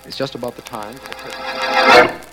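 An actor playing an earnest district attorney who narrates a detective mystery in formal, old radio drama style. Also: male voice, medium pitch, steady tone, normal speed, loud music behind the voice, close to an everyday voice, poor audio. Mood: measured narration. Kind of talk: 1940s-50s radio drama acting.

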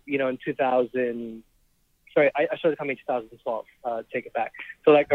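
A man in his thirties from Trinidad and Tobago, a startup founder telling his story in a relaxed interview, with lots of ums and restarts. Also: mid-range voice, no room sound. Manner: relaxed, laughs often, many ums and restarts. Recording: dry, tinny phone-like remote line.

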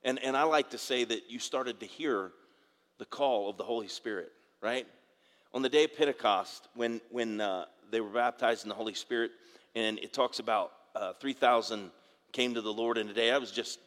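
A male pastor preaching a sermon in a church, his voice raspy from dry air, often repeating words and asking for amens. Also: medium pitch, fairly bright tone. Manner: halting, repeats words, asks congregation for amens. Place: church sanctuary. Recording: long reverb of a large hall.

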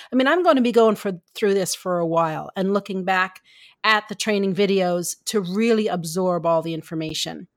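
A woman from Canada co-hosting a podcast, talking in a casual, chatty way and laughing easily. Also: medium pitch. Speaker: podcast co-host, story editor.

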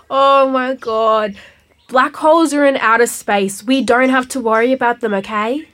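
A woman's voice: a little high, measured pace, fairly bright tone. An actress playing an exasperated schoolgirl granddaughter in a comic audio drama. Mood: weary. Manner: exasperated, sighing.

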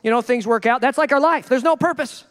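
A male pastor preaching a sermon in an animated, joking way, acting out the people he quotes. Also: extremely high pitch, fast, clear, resonant tone, big-room sound. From Minnesota.

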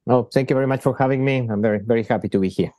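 A man's voice: medium pitch, rapid-fire, warm tone, dry recording. A man from Chile, a surgeon and company founder answering interview questions, often restarting and repeating words as he talks.

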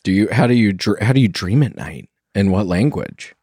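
A man's voice: lowish voice, big pitch swings, a little fast, darkish tone, husky, no room sound, studio quality. A man hosting a casual interview, asking curious, prodding questions. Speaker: talk show host.

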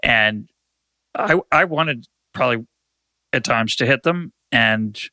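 A man's voice: medium pitch, slow pace, pushed and bright, no echo. An older man hosting a talk show, speaking thoughtfully in a reflective conversation and often restarting his sentences. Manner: thoughtful, hesitant with repeated restarts. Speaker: podcast host.

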